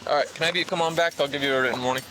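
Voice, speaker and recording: A man's voice: a little high, fairly even intonation, very fast, a bit bright. A man working as a police officer, questioning a driver at a traffic stop, recorded on body camera audio.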